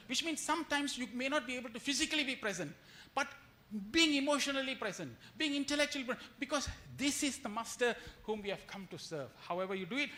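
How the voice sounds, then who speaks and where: A man's voice: very high-pitched, sharp tone. A man giving a devotional lecture as a retreat speaker, telling stories with acted-out quotes and rhetorical questions and repeating phrases for emphasis.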